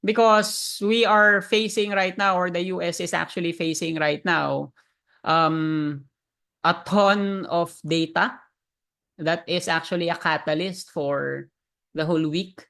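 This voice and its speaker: Filipino market educator, gravelly, mid-pitched, chatty live-lesson delivery. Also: fairly bright tone. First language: Tagalog. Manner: addresses viewers directly, asks them to type in chat; frequent fillers and restarts. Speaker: trading educator.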